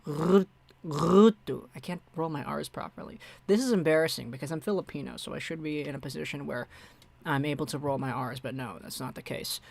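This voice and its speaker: Young guy chatting on his hockey stream, kinda high voice, dry close sound. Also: fairly quick, vocal fry, a little mellow, dry recording. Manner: chatty, reads out viewer messages and answers them. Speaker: hockey livestream host. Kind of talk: casual live-stream chat.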